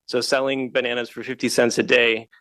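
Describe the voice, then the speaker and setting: A man from Kenya, speaking as a panelist on a video call, telling his story with frequent pauses and "uh" fillers. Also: medium pitch, little pitch movement, fairly bright tone, no echo. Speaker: panelist, founder of an energy company. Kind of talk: casual panel talk.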